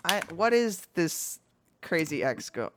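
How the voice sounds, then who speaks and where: A woman's voice: on the low side, big pitch swings, takes it slowly, no room sound, studio quality. A woman chatting casually with friends over an online voice call. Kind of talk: casual chat.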